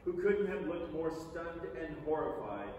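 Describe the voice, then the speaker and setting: Male stage reader, higher-pitched and theatrical, emphatic storybook delivery, heard from afar in a reverberant theatre. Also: expressive, unhurried, darkish tone, muffled recording. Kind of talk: dramatic staged reading of a children's novel. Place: large theatre stage, indoors. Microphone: far camera in the audience. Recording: echoey theatre hall, distant mic, noisy.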